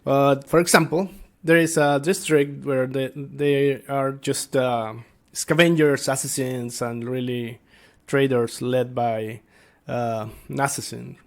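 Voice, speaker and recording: A man speaking as a tabletop game master, explaining things casually and without a script, halting as he thinks aloud. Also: medium pitch, fairly even intonation, creaky. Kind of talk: casual unscripted explanation to viewers.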